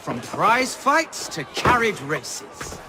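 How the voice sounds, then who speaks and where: A man voicing an excitable, chatty, flamboyant Victorian bookie with a London accent in a video game. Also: high-pitched, slow pace, exaggerated voice. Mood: showy salesmanship. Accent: London English. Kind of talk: video game cutscene acting.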